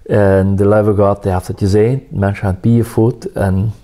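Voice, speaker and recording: A man, a musician, telling his life story in a soft-spoken, reflective and faith-centered way. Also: fairly low pitch, little pitch movement, moderate pace. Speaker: musician guest.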